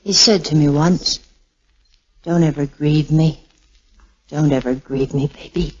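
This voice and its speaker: Slow-spoken young war widow. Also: female voice, sits low, fairly even intonation, darkish tone, slightly rough. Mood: grieving, numb. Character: grieving young war widow, brave and restrained. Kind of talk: 1940s radio drama acting. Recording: muffled 1940s radio recording with music.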